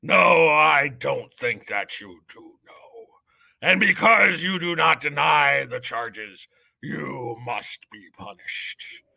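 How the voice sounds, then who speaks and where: Man doing a booming, pompous head mouse. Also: animated, vocal fry, bright, forceful tone, no echo, heavily acted. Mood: stern. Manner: booming, stern, slow and solemn. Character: pompous old male head mouse judge. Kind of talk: audiobook character reading.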